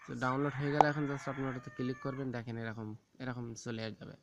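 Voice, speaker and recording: A man gives an informal, halting step-by-step phone app tutorial, often repeating himself. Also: mid-range voice, fairly even intonation. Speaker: tech tips tutorial host. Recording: very noisy, rooster crowing and clicks behind.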